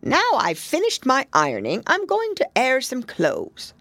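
A woman's voice: sits high, huge pitch swings, measured pace, creaky, fairly bright tone, no echo, voice pushed up, full character voice. A woman voicing a stout, anxious hedgehog washerwoman who talks humbly and keeps repeating polite phrases. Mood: fussy, polite.

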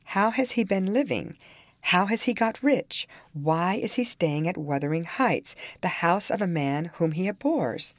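Steady, admonishing female servant read by a woman, low voice, dry sound, classic-novel audiobook. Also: measured pace, no room sound. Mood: cautioning. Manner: admonishing, reasoning. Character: sensible, cautioning female servant, plain-spoken and steady.

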